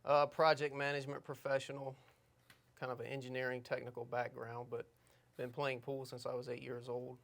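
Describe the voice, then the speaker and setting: A man's voice: mid-range voice, completely flat delivery, brisk, vocal fry, fairly bright tone. A man in his thirties from Virginia, talking casually and modestly on a podcast with dry humor. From Virginia, USA. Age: 30s. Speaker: new staff member, guest.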